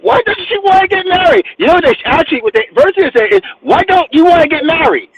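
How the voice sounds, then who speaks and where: Animated, high-pitched male author. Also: quick pace, vocal fry, dull audio, slight background noise. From New Jersey. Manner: emphatic storyteller, acts out quotes. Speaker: guest author. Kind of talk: animated interview talk.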